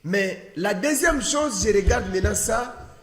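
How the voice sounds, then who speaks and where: A man's voice: sits high, animated, a little slow, a bit bright. A man with a Congolese accent answering as a political panel guest, didactic and emphatic, posing rhetorical questions. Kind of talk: political debate interview. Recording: echoey hall-like room with long reverb.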